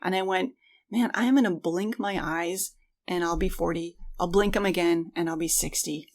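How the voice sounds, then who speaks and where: A woman's voice: lowish voice, fairly even intonation, moderate pace. A woman co-hosting a podcast, talking casually and reflectively in an earnest, encouraging way. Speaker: podcast co-host.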